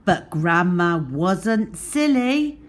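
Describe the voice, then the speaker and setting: A woman telling a children's story in an expressive storybook narration style. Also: fairly low pitch, very slow.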